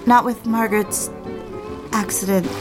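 A woman playing a romantic, probing newlywed wife in a period audio drama, earnest and gently pleading as she questions. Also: mid-range voice, steady tone, measured pace, music in the background, lightly acted.

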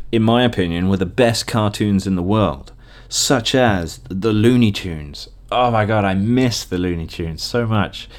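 A British man in his thirties reminiscing in a casual, rambling, chuckling way, like a podcast host talking off the cuff. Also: on the low side.

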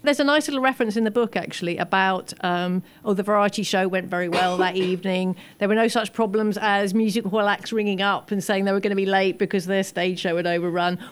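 A woman's voice: medium pitch, steady tone, quick pace, few pauses. A woman speaking as an expert guest in a casual film discussion, explaining things conversationally at a live event. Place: live post-screening event before an audience. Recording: clearly noisy live room recording.

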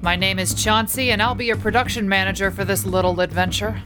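A woman playing a brisk, composed production manager who narrates a mystery radio play in a controlled, noir storyteller's way. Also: mid-range voice, fairly even intonation, lightly acted. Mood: brisk, welcoming. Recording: music bed behind the voice.

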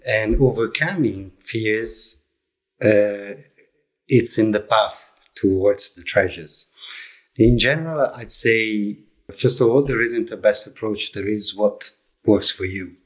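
Italian guy, middle aged, kinda husky low voice, talks slow and thoughtful with lots of uh's. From Italy. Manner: reflective, halting, with many fillers and restarts. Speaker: guest, leadership coach. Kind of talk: conversational interview answers.

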